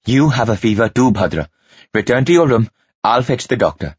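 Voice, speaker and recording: Guy reading as rugged male tribe chief, calm but commanding, husky, storybook hero. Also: mid-range voice, brisk, no echo, muffled recording. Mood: calm, urgent.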